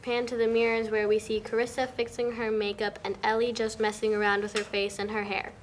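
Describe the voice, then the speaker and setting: A woman's voice: a little high, flat delivery, brisk. A young woman reading screenplay directions aloud as the narrator of a table read. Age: young adult. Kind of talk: scripted narration. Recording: noisy room recording.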